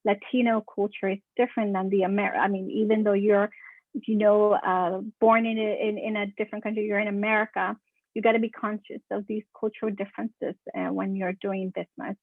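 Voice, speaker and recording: A woman's voice: mid-range voice, flat delivery, a little fast, no room sound. A woman from California, a marketing consultant answering podcast questions in a chatty way, repeating words for emphasis. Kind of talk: casual interview answers. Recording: dry, tinny phone-like call audio.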